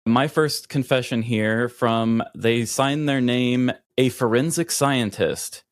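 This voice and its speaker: A man's voice: mid-range voice, takes it slowly, no room sound, clean studio sound. A man co-hosting a podcast in casual conversation. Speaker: podcast co-host.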